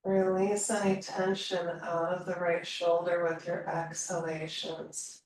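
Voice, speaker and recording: A woman leading a slow guided meditation in a calm, soothing manner, leaving long pauses between phrases. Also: on the low side. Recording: roomy echo, distant mic, faint noise.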